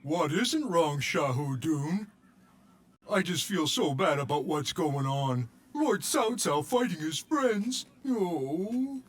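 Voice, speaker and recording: A simple, good-hearted giant of a warrior for a game. He talks very slowly in an earnest, childlike way. His pitch rises and falls widely. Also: lively intonation. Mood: troubled, sad. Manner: naive, earnest, drawn-out. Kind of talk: video game voice acting.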